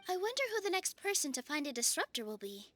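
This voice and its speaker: An actress playing a gentle, inquisitive young woman in a video game, speaking politely. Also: sits high, big pitch swings, fast talker. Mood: curious, concerned. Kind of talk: video game character acting. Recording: faint game music behind the voice.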